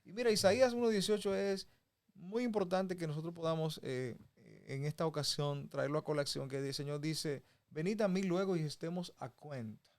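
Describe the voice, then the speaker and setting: A man's voice: on the high side, moderate pace, a little mellow. A man from the Republic, teaching a Bible lesson in a folksy conversational way. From Dominican Republic. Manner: folksy, with homey examples and chuckles. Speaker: preacher.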